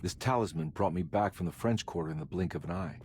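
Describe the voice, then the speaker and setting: An actor playing a weary, stubborn private detective in a noir video game, talking in a dry, casual way. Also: male voice, sits low, quick pace, darkish tone, slight background noise, natural voice. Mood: musing.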